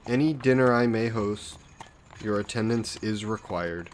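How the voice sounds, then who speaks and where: A man's voice: fairly low pitch, measured pace, a little hiss or hum, lightly acted. A man playing an aristocratic vampire lord in an audio roleplay, suave, smug and condescending, with a genteel, coaxing, possessive manner. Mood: commanding, suave. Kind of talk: scripted roleplay audio drama monologue.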